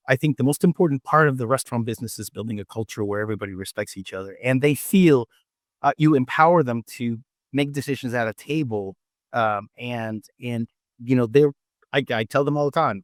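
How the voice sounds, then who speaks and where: A man's voice: mid-range voice, fairly quick, no echo, studio quality. A man from Mexico City with a Mexican accent and Spanish as his first language, answering interview questions conversationally as a restaurant owner and telling anecdotes. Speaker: restaurant owner and chef, podcast guest.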